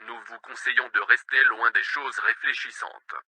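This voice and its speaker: A man's voice: medium pitch, fairly even intonation, a little fast, sharp tone, no room sound. A man's synthetic-sounding voice formally reading a scripted emergency broadcast announcement.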